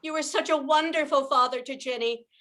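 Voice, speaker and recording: A woman's voice: high-pitched, a little fast, a bit bright. An older woman playing an anxious, tender young widowed mother in an old-time radio soap. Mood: wistful.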